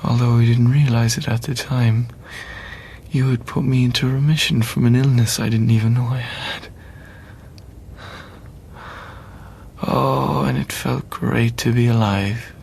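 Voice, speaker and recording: An actor in a radio play voicing a terminally ill man who speaks gently, with wry, reassuring calm. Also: mid-range voice, normal speed, creaky, slight background noise, natural voice. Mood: tender, grateful. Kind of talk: radio play acting, intimate dialogue.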